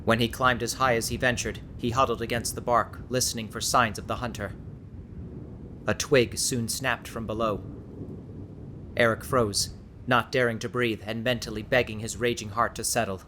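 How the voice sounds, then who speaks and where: A man's voice: medium pitch, level tone, normal speed, background noise. A man reading an audiobook as dramatic scripted narration.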